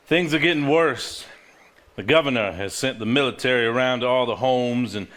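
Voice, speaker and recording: A man's voice: mid-range voice, a bit bright, natural voice. An actor on stage playing an earnest, level-headed married man who speaks seriously. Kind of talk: live stage play acting, reading aloud from a journal.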